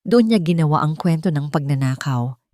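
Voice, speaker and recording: A woman's voice: low voice, lively intonation, brisk, warm tone. A woman voicing an elderly, guilt-ridden housemaid in an audio drama, hesitant, bitter and pleading. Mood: remorseful confession. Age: older. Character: frightened, guilt-ridden elderly housemaid confessing dark secrets.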